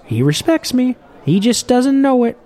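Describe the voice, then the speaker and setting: A man's voice: very high voice, big pitch swings, normal speed, warm tone, pitched above the performer's own voice. A man voicing a short, jolly old fishing boat captain who talks in a chatty, boisterous, lilting way.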